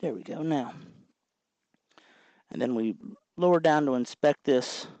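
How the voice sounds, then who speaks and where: A man's voice: a little high, a little slow, mellow, no room sound. A man hosting a do-it-yourself tutorial, talking informally and instructively as he works with his hands. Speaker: host of a DIY electronics tutorial.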